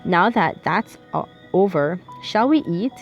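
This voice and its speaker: Woman reading a suave, courteous man, low voice, soft music underneath. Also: expressive, a little slow, highs cut off. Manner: courteous, smooth. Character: suave adult man, attentive partner.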